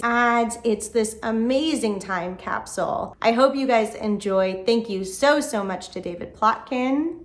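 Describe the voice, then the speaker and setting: A woman with an American accent giving a casual, enthusiastic introduction as a video host. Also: medium pitch.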